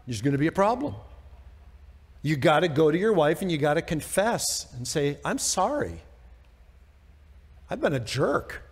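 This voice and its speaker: American man, preacher, informal verse-by-verse teaching, rhetorical questions, fairly high pitch, long room reverb. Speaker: pastor. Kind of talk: conversational verse-by-verse Bible teaching. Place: church sanctuary stage, indoors. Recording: long reverb of a church hall.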